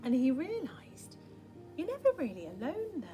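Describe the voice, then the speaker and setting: A woman reading a picture book aloud for young children, dramatic and expressive, often dropping into a hushed, breathy tone. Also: high voice, moderate pace, faint music behind.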